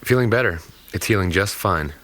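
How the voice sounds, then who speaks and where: Gallant young male lead, acted by a man: lowish voice, earnest, thoughtful, courtly audio drama delivery. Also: animated, a little fast, noisy background. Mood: tender.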